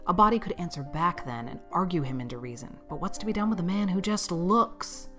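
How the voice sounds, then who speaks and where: A stern older farm woman with a distinctly low voice. She is brisk, practical and grudging, speaking her audiobook lines with grim exasperation over faint music. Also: lively intonation, fairly bright tone. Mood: muttering, puzzled. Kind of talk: dramatised audiobook dialogue.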